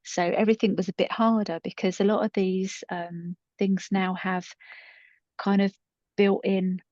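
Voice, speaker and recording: A woman's voice: medium pitch, flat delivery, a little slow, darkish tone. A woman in her fifties co-hosting a podcast, chatting casually in a rambling, self-deprecating way. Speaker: podcast co-host and coach. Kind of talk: casual conversational storytelling. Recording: muffled, roomy echoing recording.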